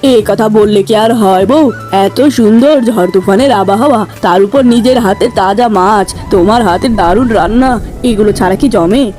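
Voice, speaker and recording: Man voicing a cheerful, high-pitched cartoon fisherman husband. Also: normal speed, darkish tone, faint music behind, natural voice. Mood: merry, coaxing. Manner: theatrical, coaxing, exclamatory. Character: cheerful, carefree fisherman husband who loves food. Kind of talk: cartoon fairy-tale dubbing.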